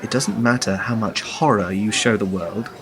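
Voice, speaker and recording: A man's voice: lowish voice, moderate pace, fairly bright tone, soft background music, close to an everyday voice. A man acting a jaded, sceptical would-be leaker in a radio play, speaking in a challenging, sardonic way. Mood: weary, challenging.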